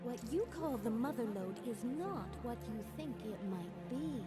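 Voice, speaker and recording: Enigmatic, menacing game-cutscene woman: high, expressive voice, cryptic delivery, heavy music behind. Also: a little slow. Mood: ominous warning. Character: mysterious, ominous woman giving cryptic warnings. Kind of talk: video game cutscene acting. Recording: loud game music over voice. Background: loud video game music.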